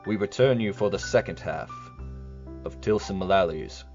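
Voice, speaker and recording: A man playing a genial, formal host who narrates a scripted radio history show in a measured manner. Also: sits low, darkish tone, muffled recording, faint music behind. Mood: formal, informative.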